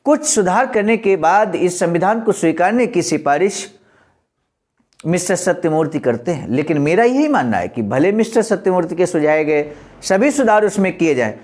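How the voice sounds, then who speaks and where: A man's voice: high voice, a little mellow. A man presenting a historical political speech, reading it aloud in a formal, explanatory and oratorical manner.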